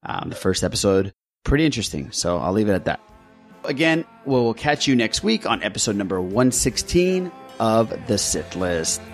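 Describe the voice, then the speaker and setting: A man's voice: fairly low pitch, very animated, moderate pace, faint music behind. A man hosting a podcast, talking casually as he introduces and wraps up segments. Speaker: podcast host.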